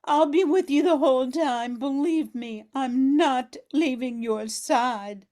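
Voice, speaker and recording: A woman's voice: high voice, slow, a little mellow. A woman playing a worried, loving mother in an amateur radio drama. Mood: worried, relieved.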